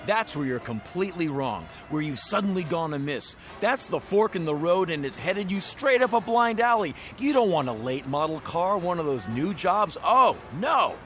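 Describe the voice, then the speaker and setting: A high-voiced male used-car salesman in a radio drama, glib and pushy. He wheedles and blusters in florid, folksy sales patter, with faint music behind him. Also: big pitch swings, normal speed, hardly any pauses, fairly bright tone. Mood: indignant, persuasive.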